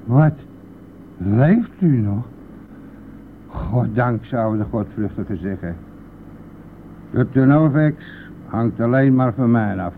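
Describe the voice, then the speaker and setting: Village bus driver, cheeky, nosy, blustering, radio drama, thin narrow-band sound, slight vehicle noise. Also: male voice, mid-range voice, animated, takes it slowly, long pauses. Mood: mocking, chatty. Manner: teasing, blustering, chuckling at own jokes. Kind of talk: Dutch radio play acting.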